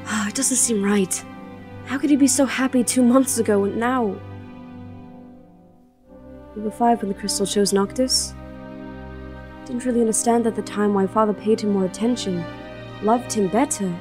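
A woman's voice: a little high, fairly even intonation, moderate pace, long pauses, natural voice. A woman playing a fiery, grieving young princess and protective twin sister in an audio drama. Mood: sorrowful. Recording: music under the voice.

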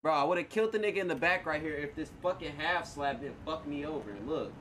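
A man's voice: on the high side, fast, noisy background. A man streaming a roleplay game, talking casually in profane street slang.

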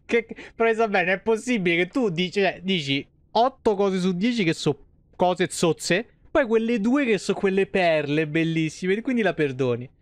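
A male streamer chats casually over a game. His voice is on the high side for a man and sounds dry and close, with an informal manner. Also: lively intonation, moderate pace, no room sound. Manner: informal, chatty, swears. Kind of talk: casual live gameplay commentary. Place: small indoor room.

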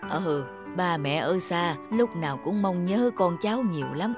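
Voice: mid-range voice, animated, measured pace. An actor playing a mild, independent elderly grandfather in a cartoon drama, speaking hesitantly over music.

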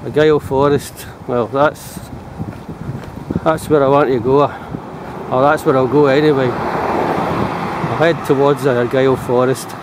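A man's voice: mid-range voice, steady tone, slow pace, long pauses. Scottish man chatting on a windy roadside. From Scotland. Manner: chatty, rambling talk to camera while walking. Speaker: vlogger. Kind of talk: casual hiking vlog. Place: outdoors on roadsides and forest paths. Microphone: camera held at arm's length. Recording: loud wind and passing traffic.

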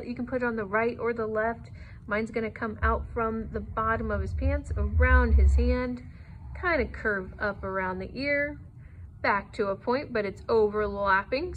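A woman's voice: medium pitch, rough recording. A woman with a mid-range voice teaches drawing one step at a time. She is encouraging and easygoing in how she instructs. There is faint room noise behind her voice. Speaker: art teacher.

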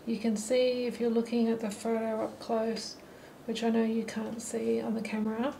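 A middle-aged woman narrating a watercolour lesson while she paints, thinking aloud in a relaxed way. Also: a little high, normal speed, vocal fry, a little hiss or hum, low-quality recording. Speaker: watercolour teacher. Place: holiday apartment, at a table indoors. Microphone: camera mic above the table.

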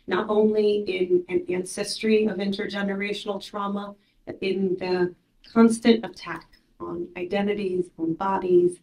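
Soft-spoken woman poet in roomy room. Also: fairly low pitch, vocal fry. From Ukraine. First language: Russian. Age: 30s. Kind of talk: poetry reading. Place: small gallery room, standing. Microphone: mic on stand.

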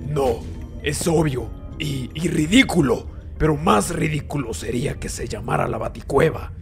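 A man voicing a bossy, foul-mouthed, scornful villain with a gravelly, grumbling delivery in an animated fan film. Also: a little high, moderate pace. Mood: scornful, irritated. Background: loud music.

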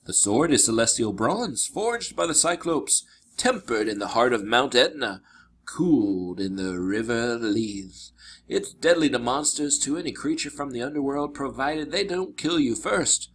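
A man voicing a wise older mentor in a storybook, grave and kindly, speaking in a measured, solemn way. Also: mid-range voice, lively intonation, fairly bright tone, breathy edge. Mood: solemn, firm.

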